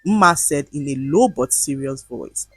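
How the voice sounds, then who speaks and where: A woman narrating a folktale from a script in an expressive, dramatic storytelling manner. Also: very deep voice, a little slow, husky, dry recording, soft background music.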